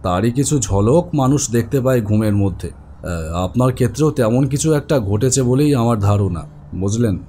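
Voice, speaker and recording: A man voicing a learned psychiatrist who explains things to a patient in a deliberate, measured way. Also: mid-range voice, expressive, mellow, a little hiss or hum, lightly acted. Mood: calm, explanatory. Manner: deliberate, explanatory, hesitant hums.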